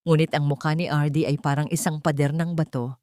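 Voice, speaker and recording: A woman's voice: low-pitched, fairly even intonation, fairly quick, dark tone, no echo, studio quality. A woman with a Filipino accent narrating a dramatic scripted story as an audiobook reader.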